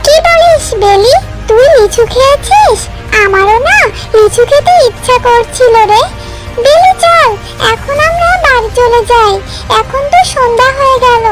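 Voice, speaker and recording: Woman voicing a very high-pitched little fox girl. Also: animated, measured pace, music in the background, poor audio. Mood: curious, eager. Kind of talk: children's cartoon voice acting.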